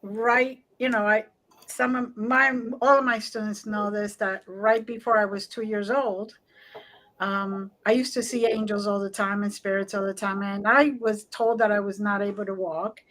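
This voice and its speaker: Talkative jersey lady in her sixties, slight cuban accent, bright voice, tells stories and plays out the voices. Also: medium pitch, moderate pace, rough recording. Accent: New Jersey with a slight Cuban tinge. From Newark, New Jersey. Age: 60s. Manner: animated, acts out quoted voices. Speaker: guest spiritual practitioner. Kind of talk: casual storytelling in a conversation.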